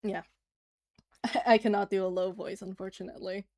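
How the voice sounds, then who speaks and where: A woman's voice: medium pitch, steady tone, moderate pace, darkish tone. A woman livestreaming as she draws, chatting casually and giggling as she answers her chat. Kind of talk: casual chat while drawing on stream.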